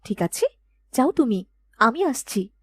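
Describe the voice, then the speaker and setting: A woman's voice: a little high, sing-song, a little slow, husky, heavily acted. A woman voicing a dutiful young princess in a storybook cartoon, with an earnest, regal way of speaking. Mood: calm, curious. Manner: earnest, insistent questioning.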